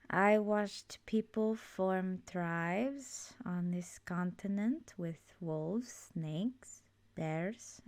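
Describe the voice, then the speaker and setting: Woman playing a slow, hushed ancient swamp witch. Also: fairly low pitch, dry recording. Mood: calm, reminiscing. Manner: soft-spoken, often whispery, unhurried storyteller. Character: ancient immortal swamp witch, wistful, gently knowing. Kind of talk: ASMR roleplay.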